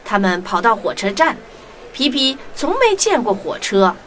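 A woman narrating a scripted children's story in an expressive storytelling manner. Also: fairly high pitch, moderate pace, a little hiss or hum. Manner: expressive storytelling with sound imitations. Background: music.

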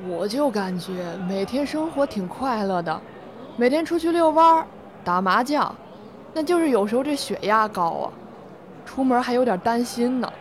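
A woman's voice: on the high side, animated, normal speed, lightly acted. A woman playing a cheerful, chatty elderly community resident in a radio play. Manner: chatty, agreeable. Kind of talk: amateur legal-education radio play.

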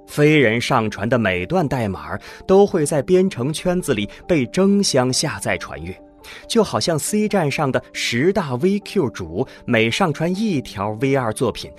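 A man reading scripted narration for a science fiction audio drama. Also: mid-range voice.

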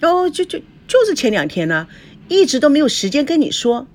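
A woman's voice: high voice, big pitch swings, fairly quick, darkish tone, pitched above the performer's own voice. A woman voicing a young female assistant in an audiobook, hesitant, apologetic and plaintive. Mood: nervous.

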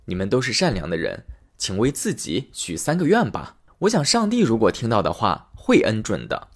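A man voicing God disguised as a traveler, speaking calmly and graciously. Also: lowish voice, moderate pace, full character voice. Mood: benevolent. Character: God in disguise, calm and benevolent.

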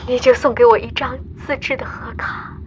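A woman's voice: a little high, fairly even intonation, moderate pace, darkish tone, highs cut off, a little hiss or hum, close to an everyday voice. A woman playing a tender, emotional mother speaking heartfelt words to her child in a radio drama voice message. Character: tender, emotional astronaut mother addressing her young daughter.

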